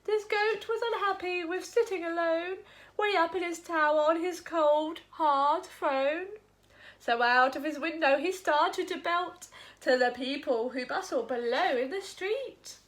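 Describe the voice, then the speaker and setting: A woman's voice: very high voice, unhurried, a bit bright. A woman storyteller reading a rhyming children's story expressively.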